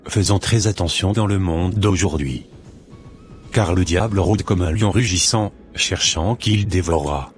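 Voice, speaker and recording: Man voicing a deep-voiced preaching church brother. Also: faint music behind. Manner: slow, sermonizing, gently admonishing. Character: Brother David, a calm preaching church elder.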